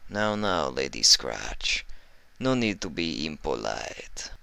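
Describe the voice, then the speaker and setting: A man's voice: a bass voice, sing-song, slow pace, gravelly, pushed and bright, clear, resonant tone, no echo, full character voice. Man acting a smug, suave villain doctor stallion. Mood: smug, mock polite. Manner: mock-courteous, condescending. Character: sinister, suave male villain pony, a doctor, mockingly polite.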